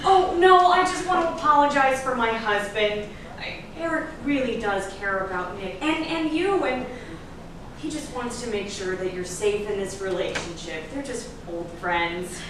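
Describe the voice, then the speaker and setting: A woman's voice: medium pitch, normal speed, close to an everyday voice. A woman playing a detective's meddling wife in a staged drama reading, earnest and insistent, often hesitant. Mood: nervous, friendly. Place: echoey room at a staged reading. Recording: echoey room, distant mic, noisy background.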